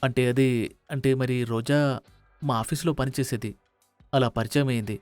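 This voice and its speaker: A man's voice: medium pitch, fairly even intonation, moderate pace, darkish tone, no room sound, soft background music, natural voice. A man playing an awkward, flustered young bachelor son in a family story. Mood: hesitant.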